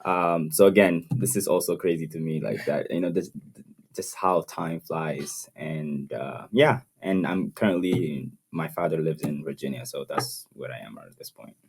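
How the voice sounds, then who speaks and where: A man's voice: extremely low pitch, normal speed, vocal fry, darkish tone, slight background noise. A young man from Ethiopia, co-hosting a podcast in easygoing casual chat, often saying "you know" and "yeah". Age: young adult. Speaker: podcast co-host. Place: room, seated in chairs.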